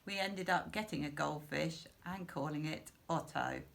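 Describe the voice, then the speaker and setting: A middle-aged woman reading a children's storybook aloud in a deliberate, expressive way for young listeners. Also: sits low, gravelly. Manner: slow, deliberate, simple phrasing for young children. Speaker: school storyteller.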